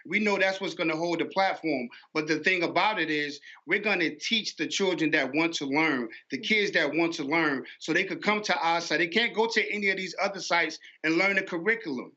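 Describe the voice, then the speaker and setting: Male interview guest, forceful bright tone, slightly high voice, tends to repeat himself, muffled recording. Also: a little fast, few pauses. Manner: repeats words and phrases. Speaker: guest promoting an animation platform. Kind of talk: interview conversation. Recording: dull, lo-fi audio.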